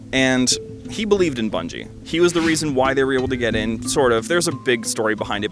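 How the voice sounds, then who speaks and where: A man giving casual, enthusiastic video game commentary as a host, stressing points by repeating words. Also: medium pitch.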